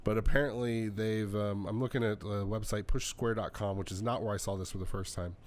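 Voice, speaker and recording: Dude on a podcast, kinda low voice, casual storytelling w/ quoted bits, faint background noise. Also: male voice, fairly even intonation, a little fast. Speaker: podcast co-host.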